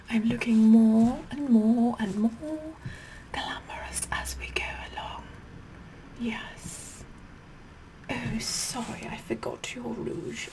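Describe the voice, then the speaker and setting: A vain, imperious queen acted out by a woman in an ASMR roleplay, slipping between breathy whispers and soft speech. She talks very slowly in a fairly high voice, repeating words with sassy condescension. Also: rough recording. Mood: vain, mock-sweet.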